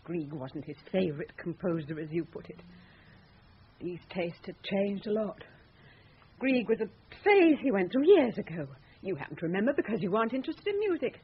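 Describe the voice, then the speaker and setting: A woman's voice: mid-range voice, very animated, moderate pace. An actress playing a jaded, hard-drinking, scornful woman in a radio drama. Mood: cool, bitter. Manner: sardonic, dismissive. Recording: muffled phone-like old radio recording, faint noise.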